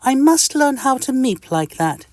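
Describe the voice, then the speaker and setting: A man voicing a tiny, fussy, polite male itch creature in a squeaky, genteel storybook voice. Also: sing-song, unhurried, a little mellow, no room sound, heavily acted. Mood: chirpy polite. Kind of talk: storybook character voice.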